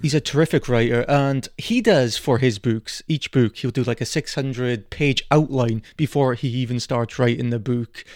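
A man's voice: medium pitch, normal speed, few pauses, darkish tone, no echo, clean studio sound. A man hosting a podcast, chatting casually and putting questions to his guest. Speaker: podcast host.

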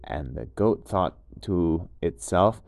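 A man with a fairly low voice tells a bedtime story for young children. He goes very slowly and repeats words for emphasis. The sound is dry and close. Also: lively intonation, creaky, darkish tone, no room sound.

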